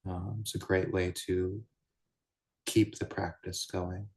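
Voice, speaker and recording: A man guiding a meditation as a teacher, speaking in measured, deliberate short phrases with pauses between them. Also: deep voice, flat delivery, a little mellow. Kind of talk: guided meditation, unscripted Buddhist teaching.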